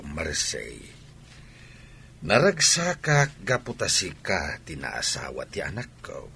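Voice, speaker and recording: A man's voice: low voice, pushed and bright, a little hiss or hum, exaggerated voice. A man playing a candid, caring elderly village father-in-law in a radio drama, with a Filipino accent. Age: older. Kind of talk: Ilocano radio drama acting.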